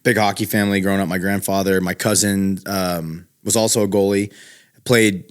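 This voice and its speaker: A man's voice: sits low, steady tone, moderate pace, clear, resonant tone. A young man from Houston, a podcast guest, telling his story in a laid-back, rambling way with many ums. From Houston, Texas. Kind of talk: casual chat.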